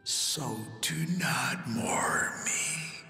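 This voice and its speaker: An actor playing a dying, loving old king in a game cutscene, speaking in a weak, solemn and halting whisper, labouring for breath. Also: mid-range voice, big pitch swings, bright, forceful tone, full character voice. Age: older. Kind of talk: dramatic video game cutscene acting. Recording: echoey reverb with music behind.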